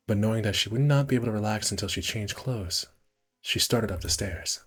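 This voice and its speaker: Man, low husky voice, casual game streamer, reading narration aloud, muttered asides, faint music behind.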